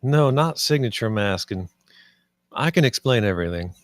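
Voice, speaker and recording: An actor at a script table read playing a gruff, pedantic and resourceful space derelict assessor. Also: male voice, lowish voice, animated, moderate pace, no room sound, clean studio sound, lightly acted. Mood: defensive.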